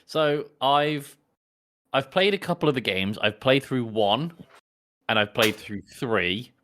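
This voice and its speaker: A man's voice: medium pitch, animated, slow, a bit bright, slight background noise. A man co-hosting a podcast, chatting and telling anecdotes casually. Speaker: podcast co-host. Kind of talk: casual chat.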